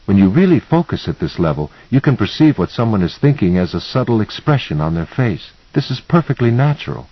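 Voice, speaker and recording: A man plays a self-assured woman mentor in an audiobook novel. The delivery is instructive and matter-of-fact, low and husky, on a slightly muffled recording. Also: very animated, brisk, few pauses, dry recording. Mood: calm, instructive. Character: calm, assured woman mentor, gently insistent.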